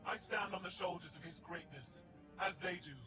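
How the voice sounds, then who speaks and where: Casting: male actor as fanatical alien high priest for a game, high register, pompous delivery, distant over heavy score. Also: normal speed, close to an everyday voice. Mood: haughty, fervent. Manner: pompous, grandiose, declaiming. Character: haughty alien zealot priest, fanatically devout. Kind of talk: video game cutscene acting. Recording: off-mic, loud music behind.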